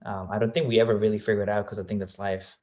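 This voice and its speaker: Interview guest: young man from Colombia, lowish voice, reflective, lots of ums. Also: little pitch movement, racing, darkish tone. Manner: thoughtful, many fillers and restarts. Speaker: guest, real estate agent. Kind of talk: casual interview answers.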